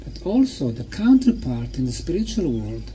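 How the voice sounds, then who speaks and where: A man addresses listeners in a public talk, with a mellow, soft-edged tone. He speaks slowly and deliberately, setting out short emphatic phrases with pauses between them. Some background noise runs under his voice. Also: medium pitch. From Bosnia. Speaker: lecturer. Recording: clear steady background noise.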